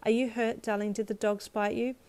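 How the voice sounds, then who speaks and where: A woman's voice: on the high side, flat delivery, brisk, a little mellow, natural voice. A woman voicing a protective, affectionate mother soothing her child in a storybook tale.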